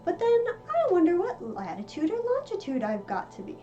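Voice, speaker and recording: A chatty, curious little girl from a storybook, played by a woman, dreamily musing to herself. The voice is high and lilting with a soft tone. Music plays behind it. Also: wildly swooping pitch, normal speed, muffled recording, full character voice.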